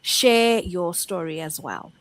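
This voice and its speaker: Low-voiced woman hosting a podcast. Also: expressive, slow pace, fairly bright tone, no room sound. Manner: conversational, many "you know" tags. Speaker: podcast host. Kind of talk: casual podcast conversation.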